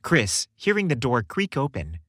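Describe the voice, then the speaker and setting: A man narrating a scripted story in a polished, expressive storytelling manner. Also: medium pitch, measured pace, no room sound, studio quality.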